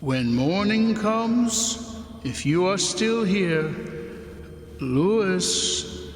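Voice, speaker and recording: An actor playing a dead man's solemn, forgiving ghost in an old-time radio play, with a drawn-out, sing-song delivery. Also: sits high, lively intonation, exaggerated voice. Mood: solemn, warning. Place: on stage in a hall. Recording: echoey stage hall with music behind.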